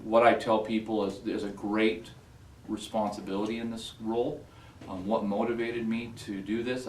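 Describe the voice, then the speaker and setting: A man's voice: lowish voice, a little mellow, slight background noise. A middle-aged man giving an answer at a public forum. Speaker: school board applicant. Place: echoey room at public forum. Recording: echoey room, distant microphone.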